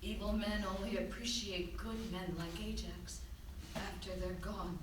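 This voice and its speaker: An actress playing the grieving, defiant wife of a fallen warrior, with anguished, weighty declaiming in a live tragedy reading. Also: female voice, medium pitch, fairly even intonation, a little slow, natural voice. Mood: grieving, bitter. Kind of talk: dramatic stage reading of Greek tragedy. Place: theater stage, live reading. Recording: very echoey theater, distant, faint noise.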